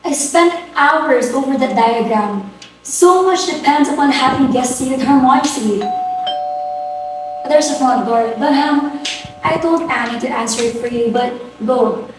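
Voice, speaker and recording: A woman's voice: sits high, unhurried. A woman acting an imperious, fretful society mother in a dramatic stage reading, scolding and exclaiming. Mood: flustered. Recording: very echoey room, distant mic, faint noise.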